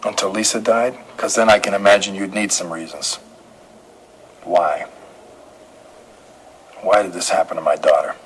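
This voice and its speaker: An actor playing a calm, relentless police detective in an audio drama, questioning in a terse, methodical way. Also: male voice, low voice, normal speed, long pauses, close to an everyday voice, rough recording. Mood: probing, pressing. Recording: clear background noise and ambience.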